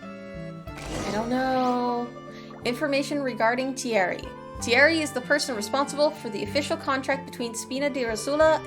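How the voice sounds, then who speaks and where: A woman streamer with a somewhat high voice comments casually on a game. Her asides are playful and sceptical. Music is clearly heard behind her. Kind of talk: casual live gameplay commentary.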